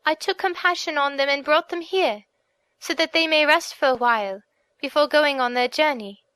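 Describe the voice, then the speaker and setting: A woman's voice: high voice, normal speed, sharp tone, no room sound, voice pushed up. A woman voicing a young captive princess in a storybook, speaking formally and earnestly with sorrowful pleading. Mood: gentle pleading. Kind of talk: audiobook character voice.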